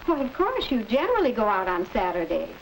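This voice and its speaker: A woman playing a gently cautious, middle-aged American mother in a 1940s instructional film, measured and motherly. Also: mid-range voice, huge pitch swings. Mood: pleasant. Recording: old film soundtrack, distant mic, hiss.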